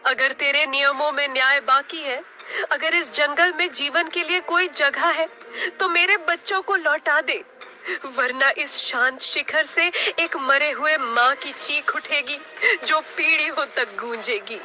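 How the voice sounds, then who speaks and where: A woman's voice: sits high, steady tone, measured pace, sharp tone, a little hiss or hum, heavily acted. A woman voicing an anguished, defiant mother bird in a cartoon story, with impassioned, dramatic delivery. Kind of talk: Hindi cartoon story acting.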